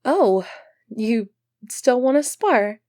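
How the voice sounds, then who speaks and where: A woman's voice: fairly high pitch, animated, slow pace, darkish tone, no echo, studio quality. A woman playing an earnest, easily flustered medieval knight devoted to her prince, speaking politely and formally in an ASMR roleplay. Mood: flustered, alarmed. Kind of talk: ASMR roleplay acting, formal old-fashioned speech.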